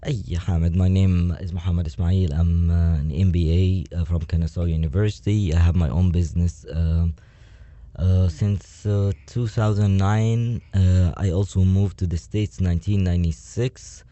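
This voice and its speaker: Man from egypt, very deep, dark mellow tone, hesitant, dull dry recording. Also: fairly even intonation, moderate pace, no room sound. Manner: hesitant, many fillers and restarts. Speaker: guest. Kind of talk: radio interview.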